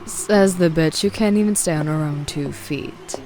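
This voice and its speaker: A woman's voice: deep voice, normal speed, over loud music, low-quality recording. A woman playing a taunting, menacing fighter and clan leader in a visual novel, crude and cool in manner. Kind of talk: animated visual novel acting.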